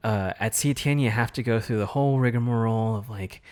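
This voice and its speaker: A man's voice: mid-range voice, fast talker, darkish tone. A man in his thirties co-hosting a casual podcast, talking things through thoughtfully. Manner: thoughtful, lots of fillers and restarts. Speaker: podcast co-host, artist. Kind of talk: casual chat.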